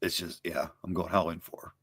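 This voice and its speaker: A man guesting on a casual live stream, excitable and incredulous, his voice slightly worn from talking all day. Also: low voice, brisk, breathy edge, no room sound. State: losing his voice.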